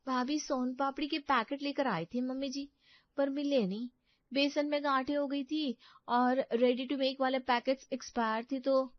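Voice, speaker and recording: A woman's voice: high voice, level tone, a little slow, no echo, dull audio, voice pushed up. A woman voicing a flustered, chatty young daughter-in-law who runs the kitchen in a family story. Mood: earnest, explaining.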